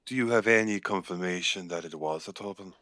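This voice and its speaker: A man playing a guarded, seasoned werewolf pack leader with an Irish accent in an audio roleplay, speaking in a measured, grave way. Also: deep voice, pushed and bright, dry recording, clean studio sound. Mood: wary, questioning.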